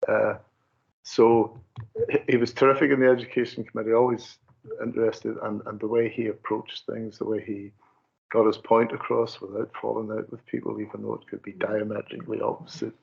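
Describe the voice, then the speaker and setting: A man's voice: lowish voice, little pitch movement, fairly quick, creaky, husky. A man, a councillor, giving an earnest tribute at a committee meeting, repeating words as he goes. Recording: dull lo-fi meeting audio.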